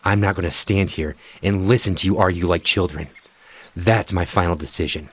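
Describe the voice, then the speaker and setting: Man doing a wounded doc, really deep voice, firm, in charge. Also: normal speed, husky. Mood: commanding. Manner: firm, authoritative. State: weak, injured. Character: Doctor Reed, wounded older male doctor, commanding.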